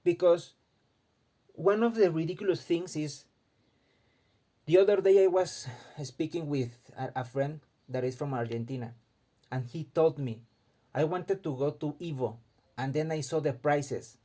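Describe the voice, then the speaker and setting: A man's voice: fairly high pitch, measured pace, dark tone. A man from Mexico, giving an impassioned casual opinion monologue and often repeating words as he searches for them. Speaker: fighting game commentator.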